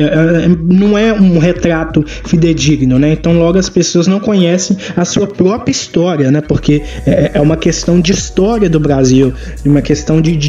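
A young man in his twenties from Pará, speaking as an interview guest and pausing often with fillers. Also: fairly high pitch, dark tone, muffled recording. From Pará, Brazil. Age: 20s. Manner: halting, many fillers. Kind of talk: conversational interview.

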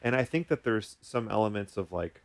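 Low, gravelly man chatting casually. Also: lively intonation, fairly quick. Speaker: podcast host, therapist. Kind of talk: casual chat.

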